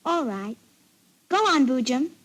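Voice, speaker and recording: A woman's voice: high voice, very animated, measured pace, exaggerated voice. A woman acting an innocent, stubborn little girl with artless questions in a 1940s radio drama. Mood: agreeable. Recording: 1940s radio broadcast recording.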